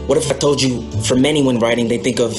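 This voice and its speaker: Loud guitar music plays under a man's voice. He reads a scripted promotional narration at a medium pitch. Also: steady tone, quick pace.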